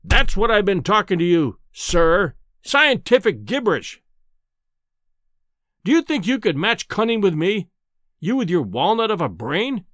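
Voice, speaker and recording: Casting: male narrator as a domineering, stocky scientist for a storybook, scornful and bellowing, high-pitched and animated, recorded dry. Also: a little slow, no echo, full character voice. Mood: furious scorn.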